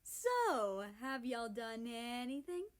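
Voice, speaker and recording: A woman's voice: a little high, very animated, slow pace, voice pushed up. A woman voicing a playful male friend with a teasing, drawling manner in a story reading.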